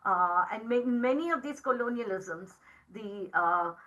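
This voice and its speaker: A middle-aged woman whose first language is Tamil, giving an academic lecture to teachers in a measured, explanatory way, often adding tag questions. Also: a little high, expressive, bright, forceful tone, muffled recording.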